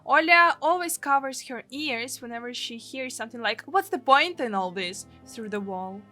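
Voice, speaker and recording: Bright, high-voiced Russian-accented woman narrating. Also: expressive, moderate pace, soft background music. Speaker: gaming streamer. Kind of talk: let's play, reading a live translation aloud.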